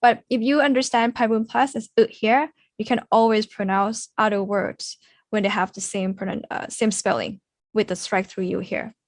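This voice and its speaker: A woman's voice: mid-range voice, fairly even intonation, no room sound, clean studio sound. A young woman language teacher giving a conversational explanatory presentation, repeating sounds to demonstrate them. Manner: demonstrates sounds slowly with repetition. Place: indoors on a video call.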